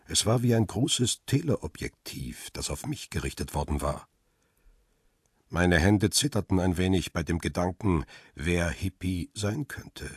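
An actor narrating in the first person as a reflective, uneasy photographer in a quiet, introspective way in a radio drama. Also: male voice, sits low, animated, a little slow, slightly rough, no echo, studio quality, heavily acted. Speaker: narrator and protagonist.

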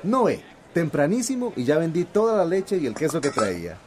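An actor playing a cheerful, dreamy small-time dairy farmer in a radio drama, chatty and expressive. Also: male voice, a little high, moderate pace, slight background noise. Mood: cheerful, joking.

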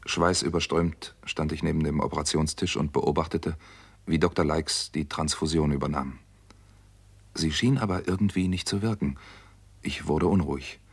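A driven, earnest doctor in a 1960s radio thriller. His voice is very low and husky, and he tells his story with grave seriousness. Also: fairly even intonation, moderate pace, heavily acted. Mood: grave, measured. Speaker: actor narrating and playing a doctor. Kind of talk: 1960s German radio crime drama acting.

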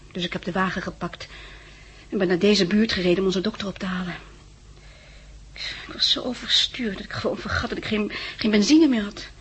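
A woman's voice: medium pitch, slightly rough. An actress playing a shaken, grateful young woman, well-bred and hesitant, in a 1960s radio drama. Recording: noisy 1960s radio recording.

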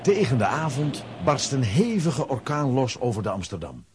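A man narrating a children's radio play in a dramatic, expressive storytelling style. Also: medium pitch, moderate pace, slight background noise.

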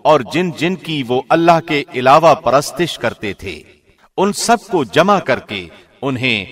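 Man reading scripture with lively intonation. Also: medium pitch. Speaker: translation reader, radio presenter.

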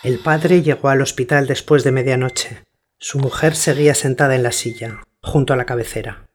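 A man narrating a scripted radio story in a measured, sober manner. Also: mid-range voice, fairly even intonation, a little hiss or hum.

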